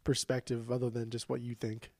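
A man's voice: mid-range voice, monotone, brisk, darkish tone, no room sound, clean studio sound. A congested man co-hosting a podcast, chatting casually and teasing his friend. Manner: teasing, playful banter. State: congested, stuffed up. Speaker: podcast co-host. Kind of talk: casual chat.

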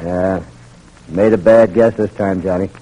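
An actor in an old-time radio drama playing a hard, suspicious army lieutenant who barks orders and questions curtly. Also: male voice, deep voice, fairly even intonation, a little slow, mellow, rough recording. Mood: firm, knowing. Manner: commanding, curt, interrogating.

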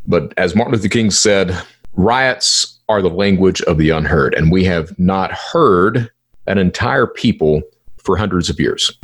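A man's voice: deep voice, fairly bright tone, husky. A middle-aged man delivering an informal solo podcast monologue as host, in a theatrical, folksy and emphatic manner with rhetorical questions.

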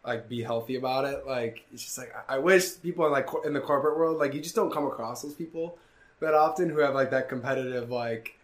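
A young man hosting a podcast in casual chat, animated and swearing freely, with many "like"s. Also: mid-range voice, quick pace. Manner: animated storytelling, swearing, frequent "like". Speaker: podcast host.

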